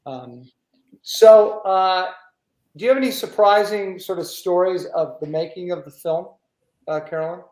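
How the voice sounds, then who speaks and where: A man's voice: high voice, measured pace, darkish tone. A man hosting a conversational interview in an effusive way, often repeating his phrases as he goes. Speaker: host. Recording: echoey room sound.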